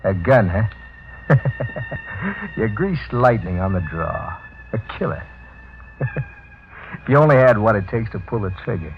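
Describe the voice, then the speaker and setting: An actor playing a relentless, taunting bounty hunter in a 1940s radio drama, sneering and menacing. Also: male voice, lowish voice, sing-song, a little slow, long pauses. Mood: mocking. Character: taunting, relentless bounty-hunting man on a fugitive's trail. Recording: muffled 1940s radio recording.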